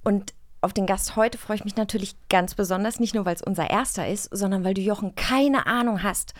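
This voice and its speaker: A woman's voice: medium pitch, a little fast. A woman chatting casually as a podcast co-host, playful and teasing.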